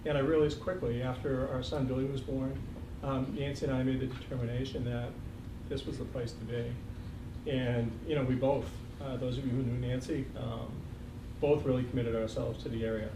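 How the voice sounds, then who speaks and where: An older man with a slight Boston accent speaks as a school superintendent in a reflective, easygoing way, dropping light jokes and plenty of ums. He is picked up from a distance in a reverberant meeting room. A steady background noise runs under his voice. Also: medium pitch, normal speed. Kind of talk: farewell speech at a board meeting. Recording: echoey, distant, noisy room recording.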